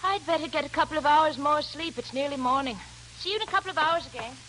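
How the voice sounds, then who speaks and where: Vivacious high-voiced young actress. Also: female voice, fast, bright, forceful tone, low-quality recording. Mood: calm. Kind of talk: 1940s radio drama acting. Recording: vintage radio recording with hiss.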